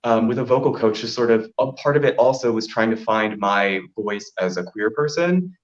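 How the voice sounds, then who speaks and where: A man with a deep voice telling stories casually, as an interview guest who performs in drag. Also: moderate pace. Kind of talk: casual interview chat, storytelling. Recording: dull, lo-fi audio.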